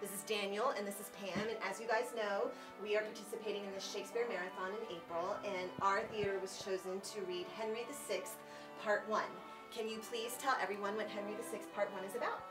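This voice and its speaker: An American woman speaks in a casual, enthusiastic way, like a presenter promoting a program. Her voice sits at a medium pitch, with faint music behind it. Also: steady tone, a little fast, poor audio. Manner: enthusiastic, gushing with superlatives. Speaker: theater artistic director, presenter. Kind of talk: casual promotional address and interviewing colleagues. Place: small indoor offices of a theater.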